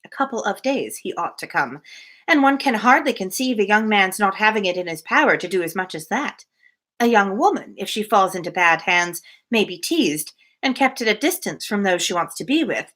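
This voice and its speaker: A genteel, strong-willed young lady from a classic novel, read by a woman. Her voice is bright and medium in pitch. She speaks in formal, measured storybook sentences, firm in her opinions. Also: expressive. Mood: firm, opinionated.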